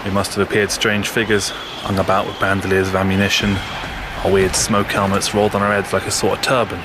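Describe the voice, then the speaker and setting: Grim low-voiced veteran soldier, loud battle din actor. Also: male voice, monotone, fairly quick, natural voice. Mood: wry, vivid. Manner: colloquial, unschooled grammar. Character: seasoned working-class British WWI soldier, grim and steadfast. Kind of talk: audio drama monologue. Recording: loud battlefield noise over the voice. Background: loud outdoor war ambience.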